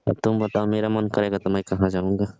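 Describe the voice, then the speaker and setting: A man's voice: on the low side, completely flat delivery, quick pace, warm tone, smooth, lightly acted. A man playing a lecherous, pleading older father-in-law in a comedic phone-call skit, coaxing and insistent. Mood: brazen, suggestive. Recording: dull lo-fi phone-call style audio.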